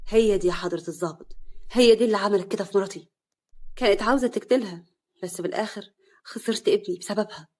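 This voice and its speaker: A woman's voice: mid-range voice, fairly even intonation, fast, darkish tone, husky, lightly acted. A woman voicing an anguished young husband with an Egyptian accent, pleading and angry by turns. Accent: Egyptian Arabic. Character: desperate young husband Hassan.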